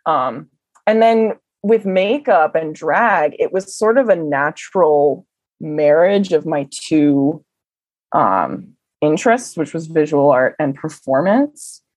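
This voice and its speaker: A young woman telling stories in a casual interview, chatty and animated, with lots of "um" and "like". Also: low-pitched, slow, no echo. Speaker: interview guest. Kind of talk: casual conversational storytelling.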